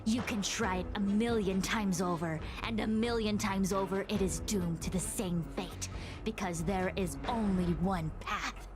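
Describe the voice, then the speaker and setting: A woman voicing a solemn, commanding female master diviner in a video game, speaking gravely and formally. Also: medium pitch, fairly even intonation, unhurried, music in the background, natural voice. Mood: coolly challenging. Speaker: voice actor in a game. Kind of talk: dramatic game cutscene acting.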